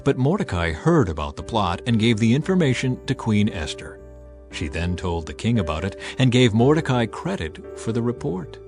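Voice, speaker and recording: A man reading scripture as a dramatic, expressive scripted narration. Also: on the low side, normal speed. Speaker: Bible narrator. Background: music.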